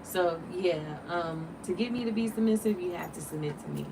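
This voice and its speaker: Woman in her late thirties, livestream host, animated and preachy, lowish voice, distant mic, vehicle noise behind. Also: moderate pace, gravelly. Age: 30s. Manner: animated, preachy, rhetorical questions. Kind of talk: casual livestream talk.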